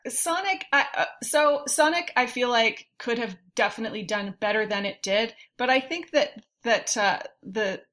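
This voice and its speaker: A woman's voice: fairly high pitch, moderate pace, hardly any pauses, fairly bright tone. A woman talking casually as a panelist in an informal discussion.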